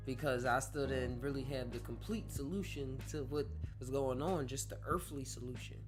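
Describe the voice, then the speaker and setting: A man in his twenties hosting a solo podcast, talking in a rambling, reflective way and often correcting himself. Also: medium pitch. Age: 20s. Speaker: podcast host. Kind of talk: casual solo podcast talk. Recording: guitar music playing under the voice.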